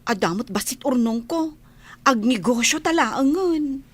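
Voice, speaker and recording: Very high, slow-spoken young maid, played by a woman. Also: lively intonation, breathy edge, exaggerated voice. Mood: pleading, insistent. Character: emotional young housemaid, stubborn and loyal to her friend. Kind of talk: Ilocano radio drama acting.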